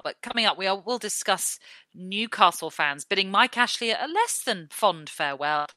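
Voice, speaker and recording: A woman's voice: on the low side, sharp tone, no echo. A woman hosting a football podcast, presenting links and steering the discussion. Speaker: podcast host.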